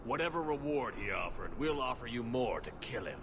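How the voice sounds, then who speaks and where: Criminal thug, sharp gravelly voice, menacing, mercenary, fantasy game, echoey. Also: male voice, mid-range voice, lively intonation, fairly quick, slight background noise. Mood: menacing, bargaining. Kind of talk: fantasy video game voice acting.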